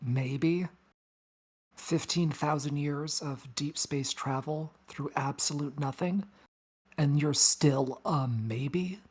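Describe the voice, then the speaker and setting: A woman plays a space probe who acts like an older sister. The voice sits low for a woman. The delivery is casual, teasing and sardonic, in the style of a science fiction table read. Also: slow pace, a little mellow, breathy edge, heavily acted. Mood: teasing, exasperated. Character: wry, breezy big-sister space probe, female.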